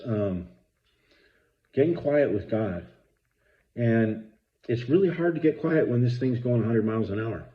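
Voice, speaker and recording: Guy in his 50s, real deep voice, rambly and earnest, lots of ums, kinda muffled audio. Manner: rambling, earnest, many fillers and restarts. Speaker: vlogger. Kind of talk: casual spoken reflection.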